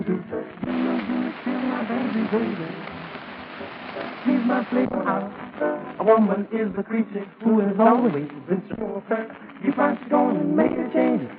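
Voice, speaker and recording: Very high male singer, tinny old radio. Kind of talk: 1940s swing novelty singing. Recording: tinny 1940s radio recording.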